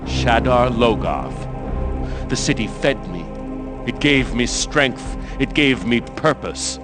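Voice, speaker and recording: An actor playing a scheming, vengeful villain in a fantasy video game, with a theatrical, taunting delivery. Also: male voice, medium pitch, animated, slow pace, music in the background. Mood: gloating, menacing. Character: scheming, vengeful man, former lackey gloating over his master. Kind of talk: dramatic fantasy game voice acting.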